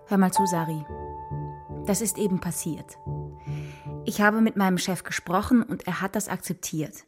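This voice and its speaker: A woman playing a meek, apologetic, weary mother in a children's radio play, gentle and evasive. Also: on the low side, moderate pace, over music, close to an everyday voice.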